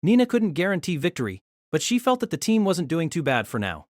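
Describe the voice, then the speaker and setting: Woman reading a novel out loud, dramatic storyteller, really lively pitch, dry recording. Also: a little fast, no echo. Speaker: narrator. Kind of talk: scripted novel narration.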